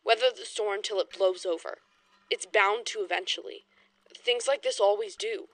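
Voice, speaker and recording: A woman's voice: mid-range voice, normal speed, no room sound, natural voice. An actress playing a blunt, defiant woman researcher of the 1920s in an audio horror drama, speaking emphatically and urgently. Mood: angry, defensive.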